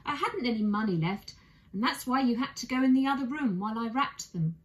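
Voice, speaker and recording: A woman's voice: on the high side, expressive, fairly quick, pitched above the performer's own voice, lightly acted. A woman voicing an earnest, polite, apologetic young bear in a children's storybook reading. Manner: earnest, courteous, eager to please.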